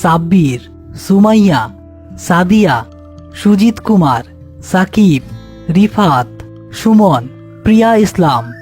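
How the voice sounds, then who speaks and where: A man's voice: high voice, expressive, slow, long pauses, darkish tone, soft background music. A man narrating a scripted horror cartoon story.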